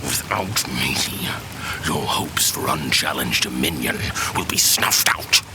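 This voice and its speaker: An actor playing a menacing, scheming fallen villain in a fantasy audio drama, imperious and threatening, with a deep gravelly voice. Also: male voice, lively intonation, background noise, heavily acted. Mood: sly, persuasive. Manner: slow, threatening, persuasive.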